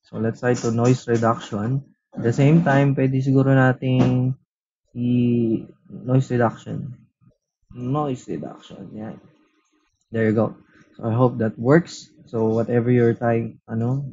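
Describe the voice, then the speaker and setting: A man's voice: medium pitch, unhurried, lo-fi sound. A man with a Filipino accent hosting a tech review, talking casually and mixing in Tagalog. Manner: relaxed Taglish code-switching, frequent "uh" and "so". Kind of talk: casual product review and demo. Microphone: headset built-in mic.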